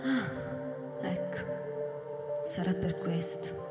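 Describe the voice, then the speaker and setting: An actress playing an eerie, childlike young woman who clings to her lover, speaking in a halting, bewildered, theatrical way. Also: lowish voice, wildly swooping pitch, full character voice. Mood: probing. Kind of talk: radio theatre acting. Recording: echoey reverberant hall with music behind.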